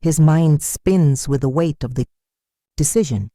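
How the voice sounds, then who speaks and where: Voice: medium pitch, a little slow, warm tone, no room sound, clean studio sound. A narrator telling a dramatic folktale in a solemn, scripted storytelling style.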